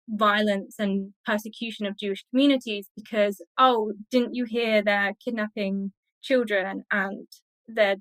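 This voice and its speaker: A woman's voice: a little high, fairly bright tone, no echo, low-quality recording. Woman academic explaining in a dry recording. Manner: measured, scholarly, explanatory. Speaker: interview guest, academic expert. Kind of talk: explanatory interview discussion.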